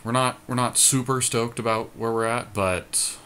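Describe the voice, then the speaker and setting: A man's voice: medium pitch, steady tone, normal speed, pushed and bright, a little hiss or hum. A man co-hosting a gaming podcast, casually thinking through card game moves aloud in informal, slangy language.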